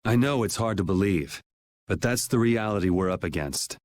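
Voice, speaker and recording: Man as a low, laid-back young game hero. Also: lively intonation, normal speed, a little hiss or hum, close to an everyday voice. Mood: resolute. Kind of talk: English anime game dub acting.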